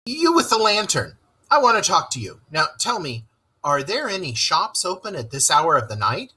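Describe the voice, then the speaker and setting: A storybook rat as a man plays him, hearty and commanding, who hosts with genial bossiness. The voice is pitched up, bright and forceful, with a husky edge. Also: huge pitch swings, normal speed, heavily acted, rough recording. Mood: brisk, commanding. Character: hearty, masterful male rat, a bossy genial host.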